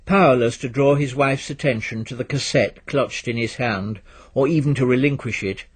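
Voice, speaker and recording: A man's voice: mid-range voice, moderate pace, breathy edge. A man reading a literary story aloud as scripted narration.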